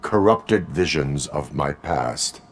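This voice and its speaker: An actor playing a stern, dutiful cyborg policeman in a video game, speaking in terse, flat, deliberate sentences. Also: a bass voice, exaggerated voice. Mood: stoic.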